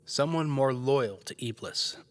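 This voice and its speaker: A serious male adventurer , acted by a man, speaks analytically at a middling pitch. The fantasy audio drama sound carries a long hall reverb. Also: measured pace, natural voice.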